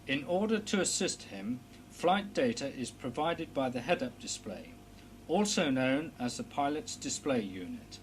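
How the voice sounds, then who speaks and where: A man reading a scripted technical instructional narration in a formal, measured, precise explanatory manner. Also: very animated, rough recording. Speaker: narrator of a technical training film. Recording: clear background noise with low hum.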